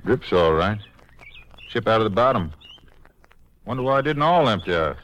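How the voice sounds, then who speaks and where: An actor playing a plain-spoken sheriff in a 1950s radio drama, talking in a conversational way. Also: male voice, fairly low pitch, sing-song, moderate pace, fairly bright tone, lo-fi sound. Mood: curious, attentive. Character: plain-spoken male sheriff, the Ranger's investigating partner. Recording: noisy old radio drama recording.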